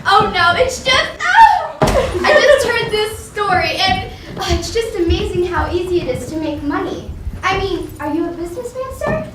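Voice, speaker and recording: A woman's voice: very high-pitched, lively intonation, moderate pace. A woman playing a gushing, scheming chatty woman in improv comedy, breathless and sing-song. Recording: echoey stage from far off, loud noise.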